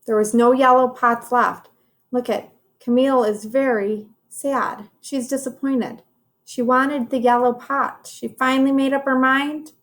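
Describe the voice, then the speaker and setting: A woman reads a children's storybook aloud as a teacher would. Her voice is fairly high and she takes it very slowly, explaining things directly to young children. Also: fairly even intonation.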